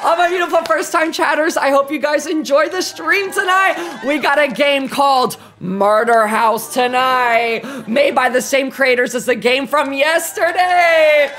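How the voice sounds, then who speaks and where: A man in his twenties livestreaming, chatting to his viewers in an effusive, animated, campy way. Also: very high voice, a little slow, hardly any pauses. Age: 20s. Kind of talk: hyped casual chat to live viewers. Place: small bedroom streaming setup, indoors.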